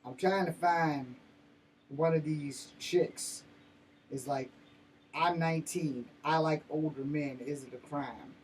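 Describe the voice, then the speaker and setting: An American man in his fifties talks off the cuff in a slangy, foul-mouthed ramble. His voice sits a little high for a man. He sounds set back from the mic, with faint noise around him. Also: steady tone, slow. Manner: profane, blunt, slangy. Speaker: host of his own vlog. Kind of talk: rambling casual chat to viewers. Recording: noisy, distant-sounding mic with room ambience.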